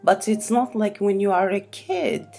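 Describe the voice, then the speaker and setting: Moroccan-born woman, arabic first language, slow and kinda gravelly, rambles w lots of "like", faint music behind. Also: mid-range voice, lively intonation, dark tone. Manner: rambling, frequent restarts and "like" fillers. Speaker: self-publishing tutorial host. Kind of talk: unscripted spoken tutorial.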